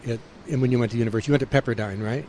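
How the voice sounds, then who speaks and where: A male radio host interviewing a guest, speaking in a measured way with frequent pauses. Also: mid-range voice, darkish tone, slight background noise, rough recording.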